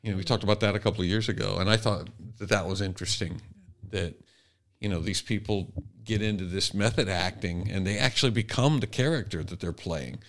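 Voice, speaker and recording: A middle-aged or older man chatting casually as a podcast co-host, halting and restarting his phrases as he goes. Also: lowish voice, fairly bright tone. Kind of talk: casual conversational podcast talk.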